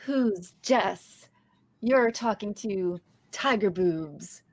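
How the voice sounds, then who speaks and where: A woman's voice: mid-range voice, animated, extremely slow, darkish tone, heavily acted. A woman reading an awkward, earnest young sitcom heroine at a comedy table read. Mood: playful. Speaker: guest reading the lead part at a table read.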